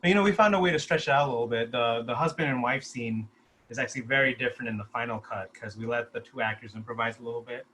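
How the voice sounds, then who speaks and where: A man talks in an informal, explanatory way, as a filmmaker would in a casual online discussion. His voice sits at an ordinary male pitch. Noise is clearly audible behind him on the call. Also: fast talker, a bit bright, poor audio. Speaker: writer and producer of a short film.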